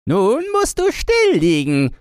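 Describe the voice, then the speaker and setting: Storybook part: a fierce wild robber girl, bossy and teasing, high and squeaky, as a male reader puts her on. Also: wildly swooping pitch, slow pace, a little mellow, clean tone, dry recording, clean studio sound, heavily acted. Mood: commanding.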